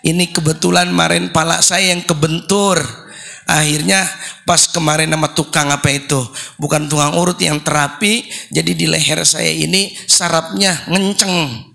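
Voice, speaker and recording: A man, hoarse after losing his voice, preaching a comic sermon and calling out questions to the congregation. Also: on the high side, normal speed. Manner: joking, lively, calls out questions to the crowd. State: hoarse, recovering from lost voice. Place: religious gathering.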